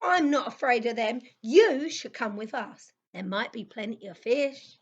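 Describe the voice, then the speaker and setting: A woman playing an excitable, fearless little boy with a cocky, playful manner in a children's audio play. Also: on the high side, measured pace, slightly rough, highs cut off. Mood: bold, curious.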